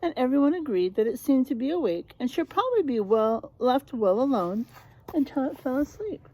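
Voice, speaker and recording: A woman reading a picture book aloud to children, teacherly and expressive, stopping to prompt them with questions and leaning on story words for drama. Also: sits high, normal speed, poor audio.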